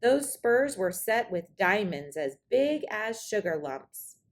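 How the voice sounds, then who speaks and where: A woman reading a children's story aloud in an expressive, dramatic storytelling manner. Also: mid-range voice, takes it slowly, slight background noise. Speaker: storybook reader.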